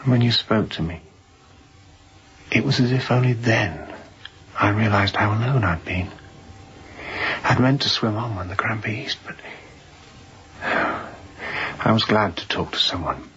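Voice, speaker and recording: A very deep-voiced fugitive sailor, weary and haunted. He speaks in hushed, halting confession, often close to a whisper. The radio drama recording sounds dull and muffled. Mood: weary, grateful. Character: escaped seaman fugitive, weary, haunted, confiding. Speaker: actor in an audio drama.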